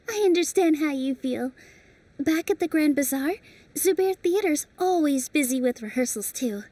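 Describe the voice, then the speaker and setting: Game heroine, young dancer, warm-hearted and encouraging, high bright voice. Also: female voice, animated, unhurried, pauses often. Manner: gentle, encouraging.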